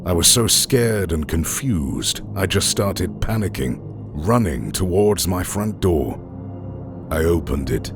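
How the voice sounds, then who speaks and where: Super low creaky guy voice reading scary stories, slow and deliberate, music behind him. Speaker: horror story narrator. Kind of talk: scripted horror storytelling narration. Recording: music under the voice. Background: background music.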